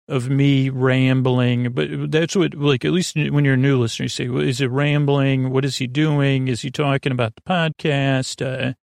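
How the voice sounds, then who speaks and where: Creaky-voiced man rambling at bedtime. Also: medium pitch, dry recording, clean studio sound. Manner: meandering, full of tangents and restarts. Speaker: sleep podcast host.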